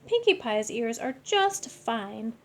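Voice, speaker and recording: A woman's voice: a little high, very animated, takes it slowly, exaggerated voice. A woman voicing a firm mother cat who corrects and cautions her kittens. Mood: firm warning.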